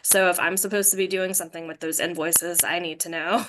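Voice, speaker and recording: A woman's voice: lowish voice, fairly even intonation, brisk, background noise. A woman talking casually and bluntly as a participant asking for help. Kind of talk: casual talk.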